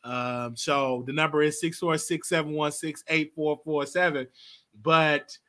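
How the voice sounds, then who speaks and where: Host, late 20s male, bright and lively, chatty and quick to laugh. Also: fairly high pitch. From Texas. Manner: chatty, animated, laughs often. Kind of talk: casual live call-in show.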